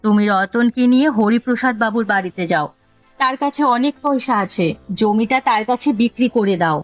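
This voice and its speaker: A woman's voice: a little high, a little fast, no room sound, lightly acted. A woman voicing a conniving, nagging village wife in a cartoon, with an insistent, goading delivery. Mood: scheming. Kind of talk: Bengali cartoon story dubbing. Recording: dull lo-fi audio, music bed.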